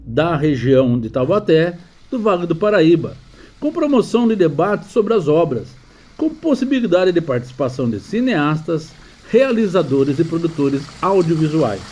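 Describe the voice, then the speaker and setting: A man's voice: fairly high pitch, sing-song, normal speed, darkish tone. A man reading a formal scripted narration like an announcer.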